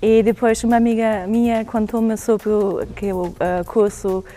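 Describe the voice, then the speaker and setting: A woman's voice: medium pitch, flat delivery, measured pace, dark tone. A woman speaking Portuguese as a learner in interview answers, hesitant and searching for words.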